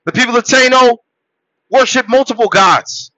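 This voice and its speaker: A man born in Haiti preaches to his listeners in a high, bright, forceful voice, shouting some points and dropping to a low murmur for others. The recording is dull and dry, with no room sound. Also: normal speed, no echo. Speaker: podcast host. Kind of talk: motivational monologue.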